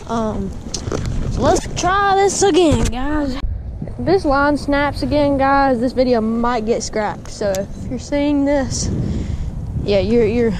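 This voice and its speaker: A man's voice: extremely high pitch, slow. A young man, chatting casually to viewers while fishing at a pond, calling them guys and dude. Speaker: fishing vlogger. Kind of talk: casual vlog commentary. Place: outdoors by a windy pond. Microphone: body-mounted action camera. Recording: wind noise outdoors.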